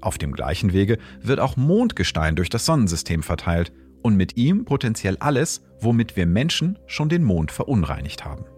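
Deep, lively science explainer, male. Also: moderate pace, breathy edge, soft background music. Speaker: podcast host and astrophysicist. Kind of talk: scripted science narration.

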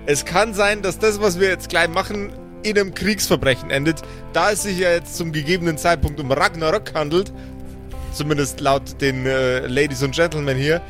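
A man with a fairly high voice plays a tabletop role-playing game. He improvises casually and jokes in colloquial speech, with faint music behind him. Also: moderate pace, a bit bright. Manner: joking, colloquial.

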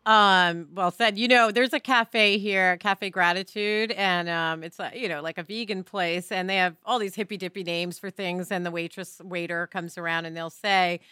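A woman's voice: fairly low pitch, steady tone, normal speed, hardly any pauses, sharp tone, clear, resonant tone, no echo, studio quality. A woman hosting a casual podcast, talking in a candid, emphatic and informal way. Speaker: podcast host. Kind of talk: casual conversational podcast chat.

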